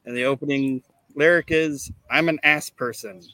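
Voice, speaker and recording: A man hosting a casual comedy podcast, chatting and reading things out in a deadpan way. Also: fairly high pitch, moderate pace, no room sound. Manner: deadpan, monotone when reading. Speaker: podcast host. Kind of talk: casual comedic podcast chat with mock recitation.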